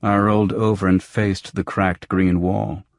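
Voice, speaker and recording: Deep, gravelly male audiobook narrator. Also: flat delivery, a little slow, dry recording, studio quality. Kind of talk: scripted first-person noir audiobook narration.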